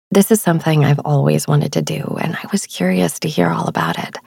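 A woman hosting a sleep meditation podcast, narrating softly and soothingly in a hushed, breathy voice that often nears a whisper. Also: lowish voice, no echo, clean studio sound.

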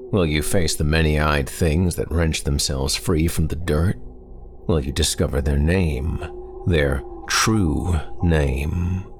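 A man with an extremely deep voice delivers a scripted horror podcast introduction. He speaks slowly and deliberately, with a gravelly edge and a theatrical, ominous manner. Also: slight background noise. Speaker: podcast host.

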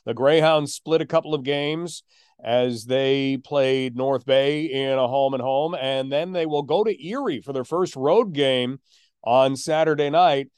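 A man hosting a hockey podcast as a play-by-play announcer, telling stories in a measured way with drawn-out emphasis. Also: medium pitch, darkish tone, clean tone, dry recording. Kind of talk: sports podcast conversation.

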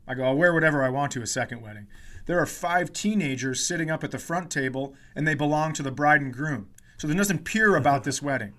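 Male podcast comedian, 40s, higher-pitched voice, casual storyteller with a sweary, exasperated rant style. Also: fast, bright, forceful tone. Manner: profane, exasperated ranting.

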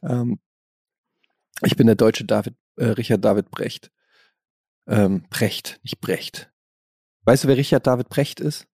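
A man co-hosts a casual podcast with a teasing, ironic manner and a gravelly edge to his voice. His pitch is ordinary and the close mic sounds dry, with no room sound. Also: measured pace, long pauses, darkish tone, dry recording. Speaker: podcast co-host. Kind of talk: casual chat.

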